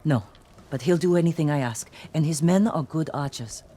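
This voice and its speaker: An actress playing a tough, loyal outlaw in a dramatic game cutscene, with a blunt, practical, direct way of talking. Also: female voice, deep voice, moderate pace, warm tone. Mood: determined. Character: tough, loyal, plain-spoken outlaw woman, fiercely practical.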